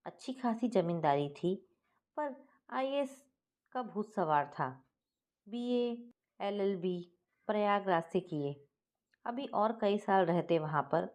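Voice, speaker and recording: A woman's voice: medium pitch, lively intonation, slow pace, long pauses, darkish tone. A woman reading a scripted first-person family story as its narrator.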